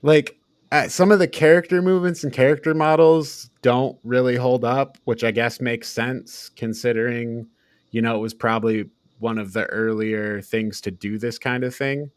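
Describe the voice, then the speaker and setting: A man of middling pitch talks in a casual, conversational review style. He sounds exasperated and leans hard on his complaints. The recording is very dry, with no room sound. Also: steady tone, normal speed, clear, resonant tone, no echo. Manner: exasperated, emphatic griping. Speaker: podcast co-host reviewing a film. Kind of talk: casual film review chat.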